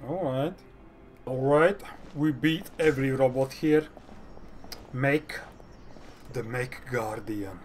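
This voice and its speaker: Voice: mid-range voice, takes it slowly, pauses often, background noise. A game streamer giving casual, playful reactions while playing. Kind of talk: casual gameplay reaction commentary.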